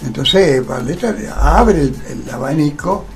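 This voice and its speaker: A man's voice: a little high, expressive, unhurried, vocal fry, soft background music. An Argentine man, an actor interviewed on radio, explaining conversationally with rhetorical questions and tags. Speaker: interview guest, stage actor.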